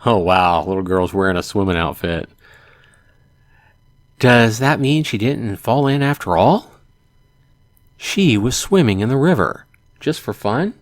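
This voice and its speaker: Low-voiced man reading a game aloud. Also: animated, measured pace, long pauses, no room sound. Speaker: let's play host. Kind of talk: reading a visual novel aloud with asides.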